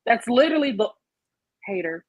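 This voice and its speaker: A woman's voice: on the high side, very animated, moderate pace, no room sound, rough recording. A woman in a casual group podcast discussion, speaking in an emphatic, playful, slangy way as a panelist. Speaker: podcast panelist reviewing a film musical.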